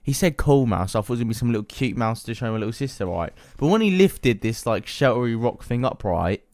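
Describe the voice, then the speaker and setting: A man's voice: mid-range voice, lively intonation, fast, a little mellow, no room sound. A young British man telling comic anecdotes casually, in a slangy, sweary, matey way. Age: young adult. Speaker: YouTube storyteller.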